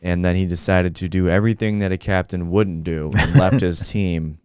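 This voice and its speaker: A man's voice: deep voice, fairly even intonation, moderate pace, darkish tone, clear, resonant tone, no room sound, soft background music. A man chatting casually as a co-host on a podcast. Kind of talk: casual chat.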